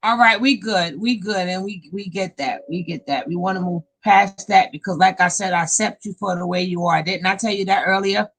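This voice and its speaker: A woman hosting a casual live panel stream over a video call, animated and laughing often. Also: medium pitch, moderate pace, few pauses, poor audio. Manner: animated, laughing, talks up a storm.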